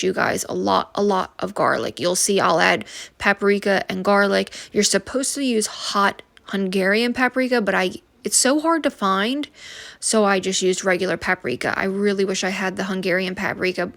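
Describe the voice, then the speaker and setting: A woman's voice: mid-range voice, vocal fry, fairly bright tone. A young woman chatting casually as she walks through a family recipe step by step, with unsure little asides. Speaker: vlogger. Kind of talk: casual cooking vlog.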